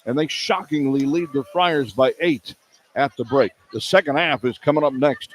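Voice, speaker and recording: A man's voice: mid-range voice, normal speed, a little hiss or hum. A man working as a radio play-by-play announcer, delivering sports broadcast commentary and game statistics.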